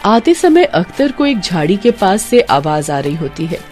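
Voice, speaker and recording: A woman's voice: on the low side, sing-song, unhurried, a little mellow, faint music behind. A woman giving scripted narration for a cartoon moral story.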